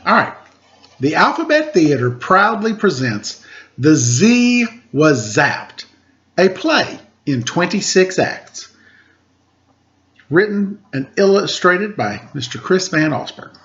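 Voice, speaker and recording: A man reads a picture book aloud in a playful, informal way, like a storyteller putting on a little show. His pitch is very animated and his pace is on the slow side. The recording is a bit lo-fi. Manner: playful, informal, theatrical announcing. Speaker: storyteller, teacher. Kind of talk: picture book read-aloud with casual chat.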